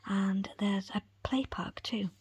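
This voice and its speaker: A woman voicing a patient, placating foster mother in a whispered audiobook, gentle and hesitant. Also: medium pitch, slow pace, breathy edge, no echo. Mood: coaxing. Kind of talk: whispered audiobook character acting.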